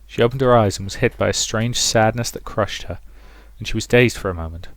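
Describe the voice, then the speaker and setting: A man reading a visual novel aloud as expressive story narration, as a let's player. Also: on the low side, fairly quick, no echo. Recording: music behind the voice.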